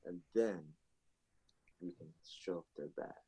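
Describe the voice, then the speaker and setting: A man playing a grave, gentle, eccentric drifter who talks to pigeons in a staged reading. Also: low voice, slow, breathy edge, full character voice.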